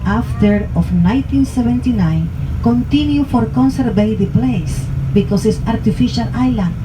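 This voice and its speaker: Woman, Latin American Spanish accent, tour guide, bilingual commentary, rhetorical questions, noisy moving bus. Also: fairly high pitch. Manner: explains through rhetorical questions, switching between two languages. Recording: loud vehicle noise on a moving bus.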